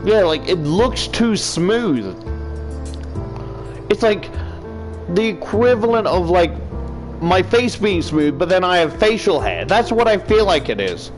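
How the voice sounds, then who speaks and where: A man's voice: sits high, big pitch swings, unhurried, long pauses, poor audio. A man reading a visual novel aloud as a YouTuber, stumbling now and then and adding casual commentary. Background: game music.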